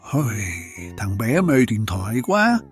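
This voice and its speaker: A gentle cartoon elder, talks in a very deep voice for a woman. The delivery is slow, and loud music plays behind it. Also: very animated, heavily acted. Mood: resigned. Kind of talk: animated cartoon dialogue.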